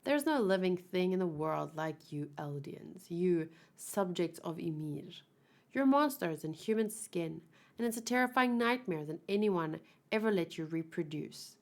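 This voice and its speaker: A woman's voice: on the low side, expressive, a little slow. A woman reading aloud a manga's contemptuous, bigoted soldier in a cold, condescending manner. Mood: cold contempt.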